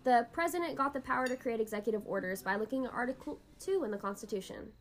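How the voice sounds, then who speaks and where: A woman's voice: a little high, fast. A woman hosting an educational podcast in a scripted, instructive explainer style. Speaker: podcast host.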